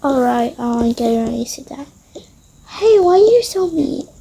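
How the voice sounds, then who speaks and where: High-pitched, creaky young-sounding female voice. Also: very animated, moderate pace, dark tone, poor audio. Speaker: roleplay video creator voicing toy characters. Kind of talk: improvised dollhouse-game roleplay.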